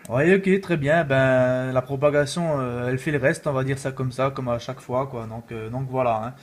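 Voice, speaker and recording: A man's voice: mid-range voice, normal speed. A man chatting casually as an amateur radio operator, rambling along with repeated filler tags. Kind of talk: casual radio contact chat.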